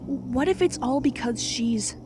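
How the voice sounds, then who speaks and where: A woman's voice: high voice, animated, measured pace, music in the background. A woman playing an anxious, fretting pegasus mother in a cartoon audio drama. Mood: worried.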